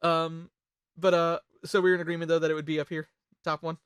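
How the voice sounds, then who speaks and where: A host in a casual group chat, talking in an animated, rambling way with some swearing. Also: medium pitch, a little fast, clean tone, no echo, studio quality.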